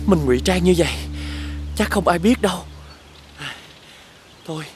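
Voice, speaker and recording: A woman voicing an earnest, determined young boy in an animated fairy tale, with an expressive delivery. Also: fairly low pitch, normal speed, faint music behind, close to an everyday voice.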